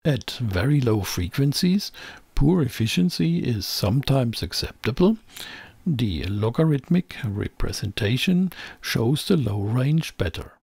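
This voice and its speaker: A man reading scripted technical narration as a tutorial presenter, in a measured, explanatory way. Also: medium pitch, very animated, creaky, a little mellow, breathy edge, no echo. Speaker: presenter of an electronics tutorial channel.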